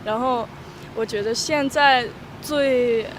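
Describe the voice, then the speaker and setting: A woman's voice: fairly high pitch, takes it slowly. A young woman from Austria answering interview questions outdoors, hesitant and full of fillers, laughing easily. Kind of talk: casual street interview. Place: city street outdoors. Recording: loud street traffic noise. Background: traffic and passing vehicles.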